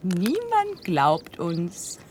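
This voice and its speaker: A woman acting a calm, coaxing nurse in a German radio drama, giving simple instructions. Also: on the low side, very slow, slight background noise, full character voice. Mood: gently instructing. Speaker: radio play actor.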